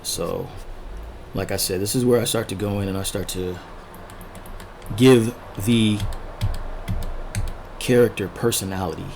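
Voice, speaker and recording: A man casually narrating a drawing tutorial as he sketches, thinking aloud in short unhurried fragments, his voice a little worn from talking. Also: lowish voice, steady tone, creaky, darkish tone, slight background noise. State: losing his voice, throat-clearing. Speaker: art tutorial host, illustrator.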